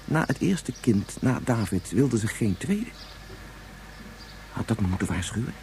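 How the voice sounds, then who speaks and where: An actor playing an anguished, regretful scientist of great age in a Dutch science fiction radio play, speaking haltingly and turning back on his own words. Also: mid-range voice, sing-song, dark tone, a little hiss or hum. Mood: brooding, regretful. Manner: halting, repetitive, ruminative. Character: anguished 177-year-old scientist, brooding, regretful father.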